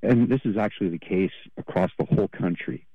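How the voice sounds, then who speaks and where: Man, very low voice, hesitant caller, tinny phone line, dry. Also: fairly quick, dry recording. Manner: hesitant, many fillers. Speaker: radio caller. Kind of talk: call-in radio comment.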